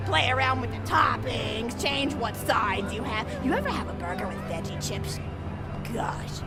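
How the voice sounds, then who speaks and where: A doting old video game granny, rambles along in a high, folksy voice that swings up and down. She is chatty and feisty, and loud music and crowd noise play behind her. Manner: rambling, folksy, swooping emphasis. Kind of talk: video game character monologue.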